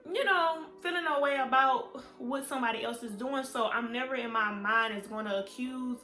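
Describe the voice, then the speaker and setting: A woman's voice: high-pitched, moderate pace, sharp tone. A woman, chatting candidly to her viewers in a storytime vlog. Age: 20s. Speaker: vlogger. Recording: echoey room with faint music.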